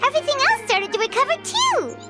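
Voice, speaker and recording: A tiny fairy companion from a video game, childlike and excitable. The voice is piercingly high and squeaky, with animated, swooping intonation. Music plays clearly behind it. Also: female voice, fast talker. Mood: cheerful.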